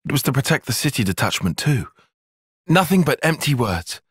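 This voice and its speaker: Young British male game tactician: articulate, cautious, easily exasperated, expressive range, dry close recording. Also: mid-range voice, slightly rough, dry recording. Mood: measured, dry. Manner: measured, formal, articulate, dry. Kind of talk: video game cutscene voice acting.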